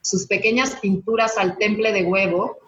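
A woman's voice: medium pitch, steady tone, moderate pace, a bit bright. A woman hosting an online panel and introducing guests, heard through slightly dull call audio. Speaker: host, moderator.

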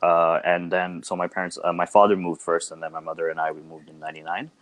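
A man's voice: low-pitched, fast. A young man from Bangladesh who grew up in Canada, a podcast guest chatting casually with many fillers. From Bangladesh, raised in Canada. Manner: relaxed, thoughtful, many fillers.

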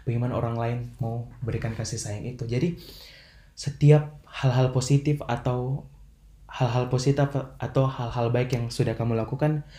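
A young man, a podcast guest, explaining in measured, formal phrasing with frequent fillers. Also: medium pitch, steady tone, mellow. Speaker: podcast guest, language ambassador. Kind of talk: earnest motivational explaining. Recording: roomy echo.

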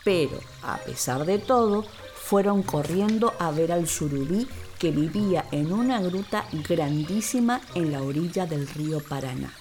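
A woman storyteller narrating for children in an expressive, dramatic way, with deliberate, emphatic phrasing. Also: lowish voice. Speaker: actress, storyteller. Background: music and water sound effects.

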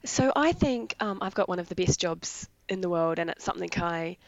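Raspy-voiced woman from New Zealand, low and gravelly. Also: expressive, moderate pace, no echo, dull audio. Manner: enthusiastic, explains jargon in plain terms. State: raspy voice from illness. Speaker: veterinary anaesthesia nurse. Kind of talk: casual interview answers.

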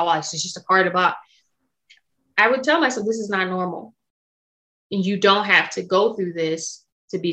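A woman's voice: mid-range voice, bright, forceful tone, lo-fi sound. A woman in her thirties with an American accent, talking candidly and emphatically in an interview and cussing freely. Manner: frank, emphatic, cusses freely. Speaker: guest, sommelier and entrepreneur.

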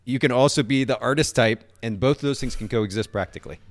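A man's voice: mid-range voice, fast. A man hosting a live-streamed podcast interview, enthusiastic and repeating words as he starts sentences. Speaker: podcast host.

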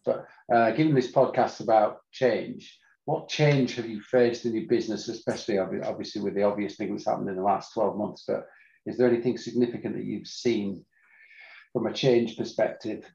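Gravelly man in an echoey lo-fi room. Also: fairly low pitch, moderate pace. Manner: joking, relaxed. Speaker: podcast co-host. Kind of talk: casual podcast conversation.

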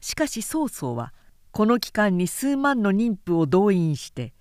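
A woman narrates historical fiction in a slow, measured way. Her pitch sits in the middle, and the sound is dry, with very little room. The delivery is that of a dramatic storyteller reading from a script. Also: sing-song, a little mellow, no room sound. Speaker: narrator, literary reader.

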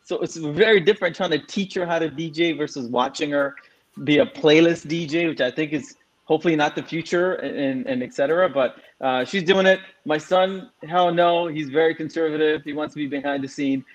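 A man's voice: on the high side, a little fast, rough recording. A man in his forties, from Singapore, speaking as a guest in a frank, casual way with many fillers. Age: 40s. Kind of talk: casual interview answer.

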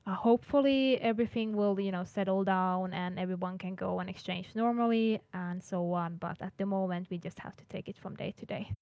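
A woman's voice: mid-range voice, brisk, few pauses, a little mellow, no echo. Earnest Finnish woman answering interview questions. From Finland. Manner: earnest, laughs now and then, restarts words. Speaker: international services coordinator.

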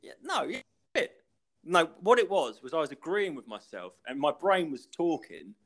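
A man's voice: a little high, big pitch swings, moderate pace, fairly bright tone. A man, a podcast co-host in casual chat, ranting and rambling and breaking into laughter.

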